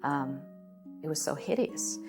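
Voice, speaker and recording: Female documentary interviewee, low register, deliberate storyteller in short phrases, instrumental music underneath. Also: big pitch swings. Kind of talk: vivid personal storytelling.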